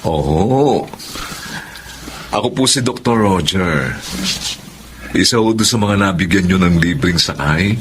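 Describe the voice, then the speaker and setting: Courteous doctor over background noise. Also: male voice, sits low, animated, slow, long pauses, a bit bright, full character voice. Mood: warm, reassuring. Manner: polite, friendly, reassuring. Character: grateful, courteous male hospital doctor. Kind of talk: Tagalog radio drama acting.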